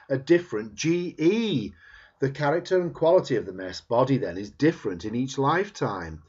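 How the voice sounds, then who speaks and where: Male audiobook-style reader, husky voice, animated intonation, emphatic pauses; dull lo-fi recording. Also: mid-range voice. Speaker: reader of a book chapter. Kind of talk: scripted read-aloud narration, audiobook style.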